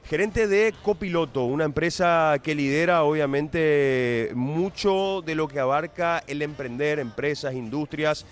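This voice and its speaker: A man's voice: on the high side, a little slow, few pauses. A man hosting a radio show, introducing his guest with enthusiasm and asking long, wordy questions. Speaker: radio host. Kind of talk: radio interview.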